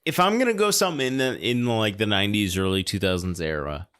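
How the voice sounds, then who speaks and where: Low, clear-voiced man chatting about films. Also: animated, fast talker, a bit bright. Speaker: podcast co-commentator. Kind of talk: casual film discussion.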